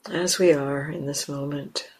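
A woman teaching guided meditation, speaking gently and unhurriedly in short phrases with long pauses. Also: low voice, fairly even intonation, slightly rough. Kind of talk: guided meditation, unscripted instruction.

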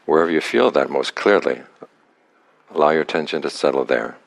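Man, extremely low voice, meditation teacher, calm, unhurried phrasing, long pauses. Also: gravelly. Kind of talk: guided meditation.

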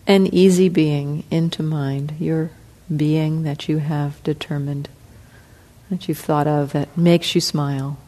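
A woman teacher leading a guided meditation, speaking softly and calmly with long pauses. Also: deep voice. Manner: slow, soft, calm, with long pauses.

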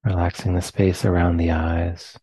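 Deep-voiced american guy guiding a meditation, super slow and soft, mellow, dry close sound. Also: flat delivery, slightly rough, no echo. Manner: slow, soft, pausing phrases. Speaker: meditation teacher. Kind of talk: guided meditation.

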